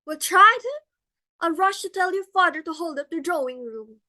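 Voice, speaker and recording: A woman's voice: very high voice, expressive, normal speed, bright, forceful tone, exaggerated voice. A woman playing a haughty, fretful society hostess in a stage comedy, exclaiming imperiously and melodramatically. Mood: flustered, haughty. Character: haughty, fretful society matron hosting a dinner party. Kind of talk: theatrical stage comedy acting.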